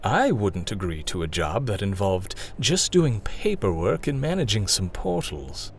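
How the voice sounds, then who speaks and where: An actor playing a courteous, probing stranger in a fantasy audio drama, speaking deliberately with polite skepticism. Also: male voice, on the low side, sing-song, slight background noise. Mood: skeptical. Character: courteous, probing male traveler, a searching stranger. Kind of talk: audio drama character acting.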